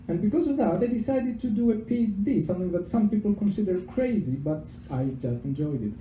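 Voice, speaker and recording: A man's voice: sits high, expressive, fairly quick, mellow, slight background noise. Greek-accented young man in an echoey venue. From Greece. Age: young adult. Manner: chatty and self-deprecating, with frequent fillers and repeated words. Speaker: PhD researcher giving a public talk. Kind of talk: informal public science talk. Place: pub venue with a live audience. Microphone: handheld microphone. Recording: echoey venue with faint background ambience.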